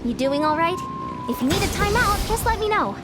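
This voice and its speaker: A woman voicing an upbeat, friendly young female sports coach in a video game, peppy and expressive. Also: very high-pitched, a little fast, over loud music. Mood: friendly concern.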